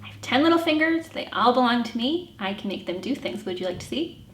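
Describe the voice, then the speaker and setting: A woman's voice: a little high, lively intonation, fairly quick. A woman presenting a children's story time as a librarian, with greetings and rhymes for young children. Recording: echoey room with faint background noise.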